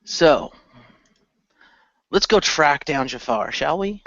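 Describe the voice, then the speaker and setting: A man's voice: on the high side, sing-song, slow, a bit bright, dry recording, highs cut off, exaggerated voice. A man playing a cautious, reluctant young hero with casual slangy talk in an amateur radio play. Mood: wary, casual.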